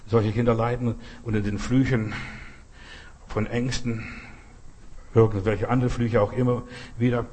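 A man's voice: medium pitch, a little mellow, slight background noise. A man giving a charismatic sermon, stressing and repeating short phrases. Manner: emphatic, repeats phrases, cries hallelujah. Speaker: preacher.

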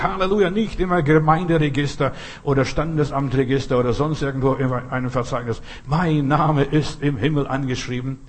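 A man's voice: mid-range voice, breathy edge. A man giving a charismatic sermon, stressing and repeating short phrases. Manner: emphatic, repeats phrases, cries hallelujah. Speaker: preacher.

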